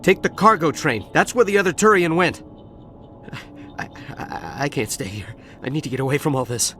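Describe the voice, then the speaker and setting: A stammering, jittery dock worker. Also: male voice, on the high side, normal speed, pushed and bright. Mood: defensive. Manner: stammering, defensive. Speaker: survivor witness. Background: game score music.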